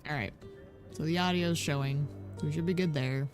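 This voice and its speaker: A woman streaming a game, croaky today, talking casually and thinking aloud with lots of ums. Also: low voice, moderate pace, a little mellow, soft background music. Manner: thinking aloud, many ums, chatty asides to herself. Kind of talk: casual gameplay commentary.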